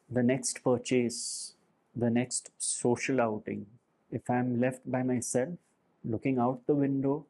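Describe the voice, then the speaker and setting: A man gives a reflective spiritual talk to a meditation group. He speaks very slowly, in unhurried phrases with pauses between them. His voice is dark and mellow. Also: on the high side.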